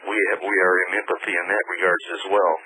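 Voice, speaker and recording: A middle-aged man phoning in to a radio show, talking in a halting, earnest way. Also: sits low, little pitch movement, crowd noise. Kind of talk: unscripted phone-in conversation. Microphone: telephone. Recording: noisy phone call over shortwave radio.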